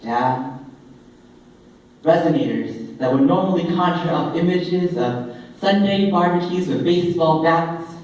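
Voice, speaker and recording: A young man performing spoken word poetry live, with a dramatic, deliberate delivery and drawn-out phrases. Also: high voice. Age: young adult. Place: indoor open mic coffee house event. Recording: echoey live room, distant microphone.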